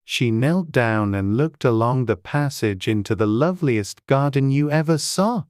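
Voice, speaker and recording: Slow, smooth-voiced man narrating a storybook. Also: medium pitch, lively intonation, dry recording, studio quality. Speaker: audiobook narrator.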